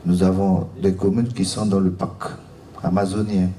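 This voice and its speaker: A man's voice: sits low, little pitch movement, moderate pace, dark tone. A man from French Guiana giving public remarks at a seminar as a local elected official. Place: seminar room, recorded from a distance. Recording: roomy, distant mic, faint noise.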